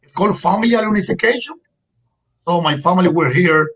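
A man's voice: high voice, brisk, fairly bright tone, breathy edge. A man in his forties from Cuba, with a Cuban Spanish accent and Spanish as his first language, chatting as an interview guest and often repeating words while he searches for the English. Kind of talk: casual interview chat.

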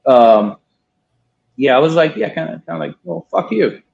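A man's voice: on the high side, animated, normal speed, gravelly. A man in his thirties from Miami telling a long story in a casual interview, swearing now and then and quoting others. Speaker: guest, cigar importer. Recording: dull lo-fi sound.

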